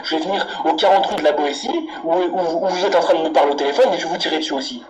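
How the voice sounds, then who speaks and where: A man's voice: a little high, rapid-fire, music in the background, close to an everyday voice. A man putting on a menacing, candy-obsessed angry customer in a prank phone call, ranting and swearing insistently. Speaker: prank caller. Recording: very noisy phone prank recording.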